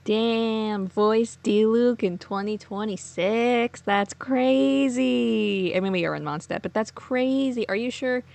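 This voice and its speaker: A woman's voice: fairly high pitch, takes it slowly, gravelly, few pauses, darkish tone. A young woman doing casual let's play commentary, sweary and wry with offhand reactions.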